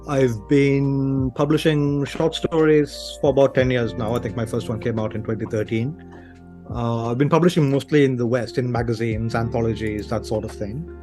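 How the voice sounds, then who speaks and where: A man's voice: mid-range voice, steady tone, moderate pace, music in the background. A man from Sri Lanka speaking casually as an interview guest, thinking aloud in hesitant, broken phrases.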